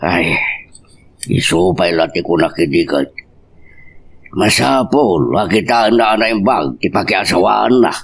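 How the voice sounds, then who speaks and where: Man acting grumbling older father-in-law, low-voiced. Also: expressive, measured pace, gravelly, long pauses, husky, exaggerated voice. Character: aging father-in-law, grumbling, judgmental family elder. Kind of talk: Ilocano radio-style family drama acting.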